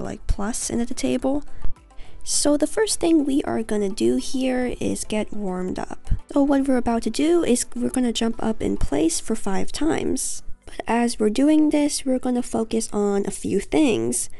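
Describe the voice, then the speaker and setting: A woman's voice: fairly high pitch, gravelly, dark tone. A teenage girl talking casually to her webcam at home, explaining a beginner workout. She is getting over a cold and tosses in lots of "you guys". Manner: chatty, says "you guys" often, light laughs. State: recovering from a cold. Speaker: figure skating vlogger. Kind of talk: casual tutorial talk to camera. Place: carpeted basement room at home.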